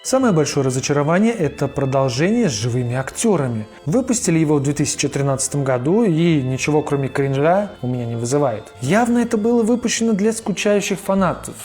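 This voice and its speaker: A man's voice: on the high side, big pitch swings, fast talker, hardly any pauses, darkish tone, faint music behind. A man narrating a casual cartoon review in a chatty, slangy, opinionated way. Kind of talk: casual video-essay narration.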